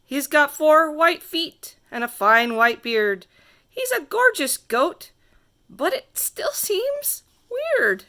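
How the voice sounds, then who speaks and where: A woman reading a rhyming picture book aloud to children in a theatrical, sing-song way with drawn-out emphasis. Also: high-pitched, sing-song, bright, forceful tone. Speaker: storybook reader.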